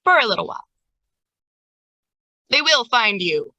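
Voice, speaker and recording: A woman voicing an earnest, cryptic carnival ringmaster in a tabletop game, halting and ominous. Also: a little high, sing-song, sharp tone, no echo, dull audio, exaggerated voice. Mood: grave, reassuring.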